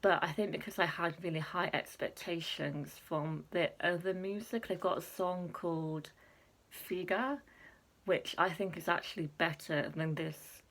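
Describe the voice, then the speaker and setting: A young woman chatting casually to camera, filling pauses with ums and laughing at herself. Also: sits low, gravelly, rough recording. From Wales. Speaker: Eurovision reaction vlogger.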